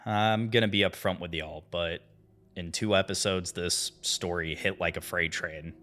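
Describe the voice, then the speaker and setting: A man's voice: deep voice, fairly even intonation, unhurried, bright, forceful tone. A man delivers scripted video-essay narration in an earnest, dramatic way with informal asides. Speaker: host of a horror series analysis video.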